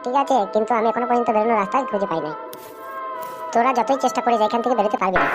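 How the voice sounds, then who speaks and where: A woman voicing a bossy, scolding ghost of a former thug in a comic cartoon, with gruff, coarse slang. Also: a little high, very fast, loud music behind the voice, natural voice. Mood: resigned.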